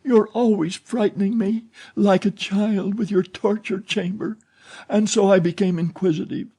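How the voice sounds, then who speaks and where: A man reading an audiobook, voicing a frightened young woman who pleads in a high, strained voice. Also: very animated, a little slow, warm tone, slightly rough, full character voice.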